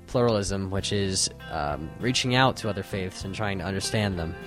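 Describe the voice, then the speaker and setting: A man's voice: deep voice, normal speed, over music. A teenage boy from Pennsylvania, earnestly explaining what he learned. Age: teens. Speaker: camper.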